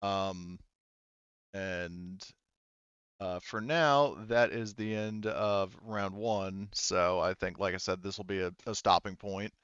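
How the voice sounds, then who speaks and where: A man's voice: deep voice, slow, a bit bright, clear, resonant tone, no echo, highs cut off. A man running a tabletop game as game master, narrating casually and swearing freely.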